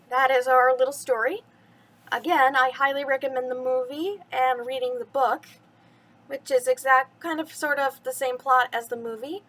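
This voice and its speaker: A woman's voice: sits high, a bit bright. A woman hosting a bedtime story reading for children, chatty and addressing the young listeners directly.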